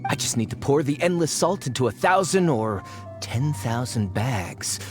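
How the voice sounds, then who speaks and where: A man's voice: medium pitch, lively intonation, moderate pace, faint music behind, close to an everyday voice. A man voicing a brash, greedy treasure hunter. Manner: brash, dismissive. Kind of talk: video game voice acting. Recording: game score playing faintly.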